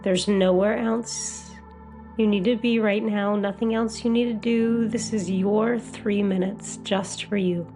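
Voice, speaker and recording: A woman guiding a meditation as a hypnotherapist, with a soothing, gentle, unhurried delivery. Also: mid-range voice, fairly even intonation, faint music behind. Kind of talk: guided meditation.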